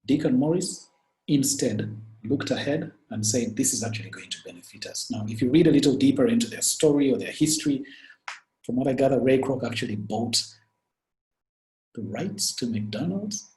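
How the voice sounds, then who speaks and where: A man with a Ugandan accent gives a webinar talk and walks his listeners through business stories. His delivery is earnest and lively, and his pitch sits in the middle range. The room around him sounds echoey. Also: normal speed. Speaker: webinar speaker.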